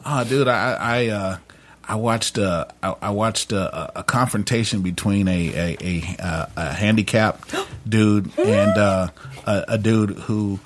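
A man co-hosting a casual podcast chat, telling stories in a halting, stammering way with many repeats. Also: on the low side, fairly even intonation, slight background noise. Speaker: podcast co-host.

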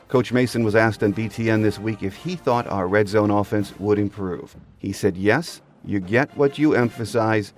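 An American man with a low-pitched voice hosts a sports podcast. He delivers scripted commentary as the host of the show. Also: darkish tone.

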